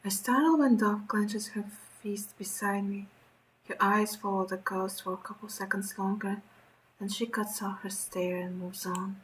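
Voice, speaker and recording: A woman streaming a visual novel, reading the narration aloud and reacting in an expressive, sweary way. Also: mid-range voice, unhurried, slight background noise, rough recording.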